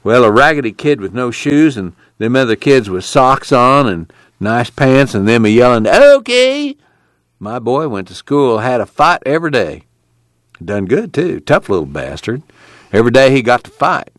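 A man voicing a bitter, weary male migrant farmhand with a rough, folksy rural drawl. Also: mid-range voice, expressive, moderate pace, fairly bright tone, no room sound, studio quality, pitched above the performer's own voice, natural voice.

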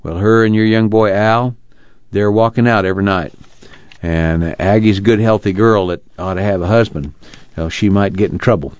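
A man plays a proud but worried poor country father. He speaks haltingly in a fairly low, folksy voice. The dramatized reading sounds dry and a little dull. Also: steady tone, clean tone, no echo, natural voice. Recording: dull, muffled audio.

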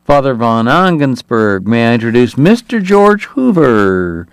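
A man's voice: medium pitch, big pitch swings, measured pace, clear, resonant tone, no echo. A man voicing a sardonic, teasing man-about-town who gossips about the people in the room.

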